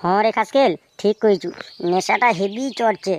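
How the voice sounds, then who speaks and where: A woman's voice: mid-range voice, normal speed, darkish tone, natural voice. A woman doing a tipsy, crude cartoon gang boss. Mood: tipsy, puzzled. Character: groggy, drunk, gruff male gang boss. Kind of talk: comedy cartoon dialogue.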